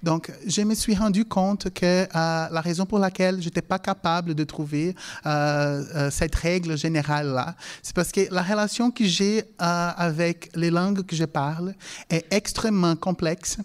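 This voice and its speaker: A man from Brazil, a native Portuguese speaker, giving a public talk with personal anecdotes in a halting way, often correcting himself. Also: sits high, steady tone, clean tone. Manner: halting, hesitant phrasing with frequent self-corrections. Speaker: language lecturer and conference speaker.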